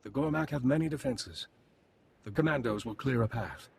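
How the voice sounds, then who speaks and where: A man's voice: medium pitch, expressive, moderate pace, vocal fry, darkish tone, husky, heavily acted. A man playing a grim, war-weary alien squad leader in a video game, speaking tersely and solemnly, with long reverb and music. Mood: grave.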